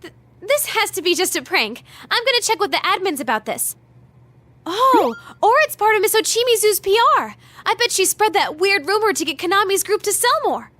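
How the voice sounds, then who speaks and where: Very high perky American teen girl. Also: moderate pace, exaggerated voice. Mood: doubtful. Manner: bubbly, expressive, girlish. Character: perky, excitable teenage girl, doubtful yet caring. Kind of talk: anime-style video game dubbing.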